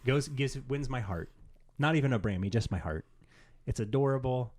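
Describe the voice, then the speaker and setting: A man's voice: medium pitch, lively intonation, moderate pace, darkish tone, slight background noise. A man co-hosting a podcast in casual, rambling chat, often dropping "like" into his sentences. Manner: rambling, frequently says "like". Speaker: podcast co-host. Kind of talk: casual chat about games and pop culture.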